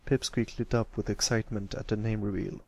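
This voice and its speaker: A man's voice: lowish voice, fairly even intonation, a little fast, gravelly, muffled recording. A man reading a story aloud as an amateur narrator, in a scripted storybook style. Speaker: amateur fan fiction reader.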